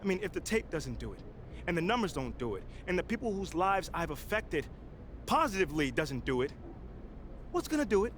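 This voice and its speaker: Lively, higher-pitched young American athlete. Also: male voice, brisk, a little hiss or hum. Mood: fired up, indignant. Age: young adult. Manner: earnest, colloquial, emphatic. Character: driven, proud young male pro basketball player. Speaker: video game actor playing the lead character. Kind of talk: naturalistic cutscene acting, impassioned speech.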